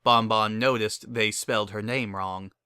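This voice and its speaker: A man's voice: on the low side, fairly even intonation, unhurried, bright, forceful tone, smooth, dry recording, studio quality. A man reading scripted dramatic story narration as a narrator.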